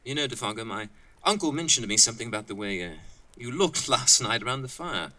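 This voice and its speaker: Bright-voiced, earnest young college man, acted. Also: mid-range voice, expressive, quick pace. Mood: uneasy. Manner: chatty, hesitant, musing. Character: earnest, talkative young male college student camper. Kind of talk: audio drama dialogue.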